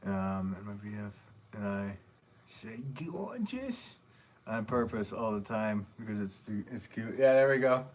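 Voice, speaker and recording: A man with a somewhat low voice chats loosely on a livestream from his pottery studio, reading out comments and drifting between topics with frequent ums and small laughs. A clear layer of background noise runs under his voice, picked up by a camera mic a little way off. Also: animated, measured pace. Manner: rambling, chatty, laughs often, many ums.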